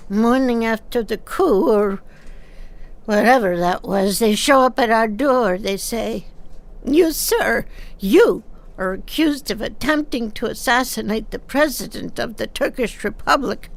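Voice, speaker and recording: An older woman playing a chatty, nosy widowed seamstress in her sixties in an audio drama, with a folksy, rambling, halting delivery. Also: fairly high pitch, animated. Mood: wry storytelling. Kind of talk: naturalistic audio drama acting.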